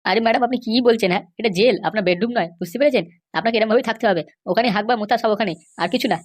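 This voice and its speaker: A woman's voice: fairly high pitch, very fast, lightly acted. A woman voicing a sly, insistent male schemer and constable in an amateur comedy cartoon. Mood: dismissive, insistent.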